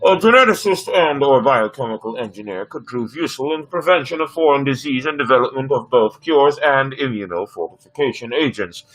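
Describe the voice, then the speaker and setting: A man voicing a servile, precise ship's computer in a sci-fi story reading, with measured technical delivery. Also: medium pitch, expressive, fairly bright tone, poor audio. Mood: neutral, informative. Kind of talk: dramatic reading of sci-fi script.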